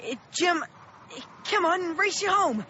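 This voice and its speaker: A woman's voice: extremely high pitch, lively intonation, unhurried, slight background noise, exaggerated voice. A woman playing an anxious, cautious thirteen-year-old small-town boy in a radio drama, earnest and hesitant. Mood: uneasy. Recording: dull lo-fi audio under loud music.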